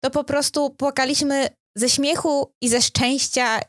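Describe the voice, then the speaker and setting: Chatty woman podcaster, higher voice, dry sound. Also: steady tone, a little slow, no echo. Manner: chatty, informal, thinking aloud. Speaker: podcast co-host. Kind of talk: casual conversational podcast chat.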